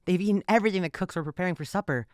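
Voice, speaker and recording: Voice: medium pitch, very fast, smooth, no room sound, studio quality, close to an everyday voice. An actor in an audio drama playing an earnest, loyal royal retainer with a formal, declamatory manner. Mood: alarmed, urgent.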